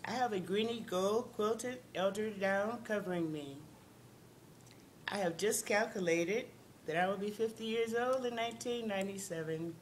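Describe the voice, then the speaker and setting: Woman, reflective memoir reading, calm, faint rumble under it. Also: normal speed, rough recording. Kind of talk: reading a memoir passage aloud.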